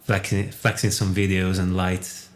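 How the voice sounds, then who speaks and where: Low-voiced man chatting casually. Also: monotone, a little slow. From Italy. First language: Italian. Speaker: livestream guest. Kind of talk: casual chat.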